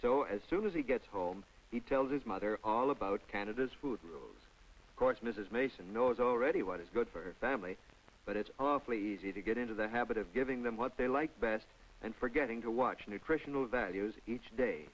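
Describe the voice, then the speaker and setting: A man's voice: mid-range voice, a little fast, poor audio. A man narrating a scripted 1950s public information film in a folksy, instructive way, with conversational asides to the viewer. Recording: vintage 1950s film soundtrack.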